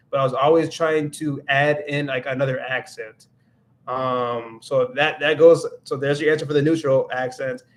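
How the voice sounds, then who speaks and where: Rambling young man on a livestream. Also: fairly high pitch. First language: English. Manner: rambling, self-correcting, many restarts and fillers. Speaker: livestream host answering viewer questions. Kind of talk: casual livestream chat.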